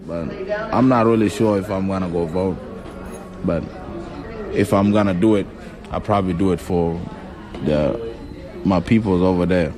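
A young man from South Sudan, Acholi his first language, talks in a relaxed, reflective way with a fairly low voice. He repeats words as he looks for the next thought. Behind him is the steady hum of a crowd of students. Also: normal speed, pauses often, poor audio. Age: 20s. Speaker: beauty school student. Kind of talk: casual interview talk. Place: beauty school and home.

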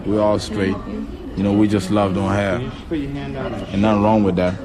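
A young man from South Sudan, Acholi his first language, talks in a relaxed, reflective way with a fairly low voice. He repeats words as he looks for the next thought. Behind him is the steady hum of a crowd of students. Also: unhurried. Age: 20s. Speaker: beauty school student. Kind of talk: casual interview talk. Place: beauty school and home.

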